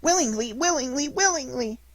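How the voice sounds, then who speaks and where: A reader voicing an eager, excitable wooden puppet boy in a storybook reading, with a slightly husky tone. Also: medium pitch, moderate pace, no echo, close to an everyday voice. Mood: overjoyed. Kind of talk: audiobook character reading.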